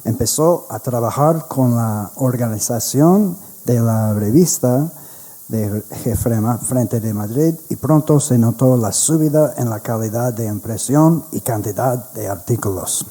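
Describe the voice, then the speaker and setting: An American man whose first language is English reads a prepared introduction at a conference. He takes it slowly, with a very dark, mellow voice. Now and then he stumbles and restarts a word. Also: mid-range voice. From United States. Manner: slow, careful reading with some restarts. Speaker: presenter at a history conference.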